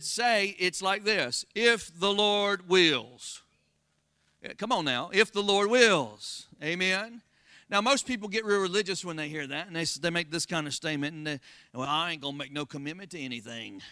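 A pastor with a Southern Florida accent preaching a sermon, folksy and calling out to the congregation for responses. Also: male voice, high-pitched, moderate pace, pushed and bright, smooth, dry recording, clean studio sound. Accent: Floridian Southern American. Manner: call-and-response, folksy, rising to emphatic exclamations.

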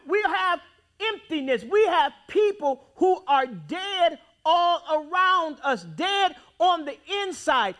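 A man preaching a sermon in a church, with dramatic swings between loud outbursts and quiet, drawn-out phrases. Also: extremely high pitch. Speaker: pastor. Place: church sanctuary.

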